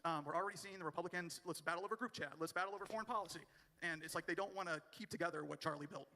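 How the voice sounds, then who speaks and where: A man's voice: fairly high pitch, racing, pushed and bright. Eager man asking a question in a hall. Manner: eager, admiring fan. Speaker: audience member asking a question. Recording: clip with long hall reverb.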